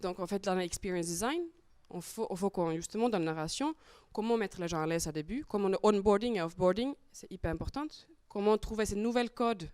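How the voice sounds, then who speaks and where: A woman's voice: medium pitch, a little fast, few pauses, a little mellow, dry recording. Woman, Finnish accent, non-native speaker on a panel, hesitant and searching for words, dry close mic. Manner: hesitant, searching for French words, many fillers. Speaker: circus artist and show creator. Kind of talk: panel talk, casual explanation.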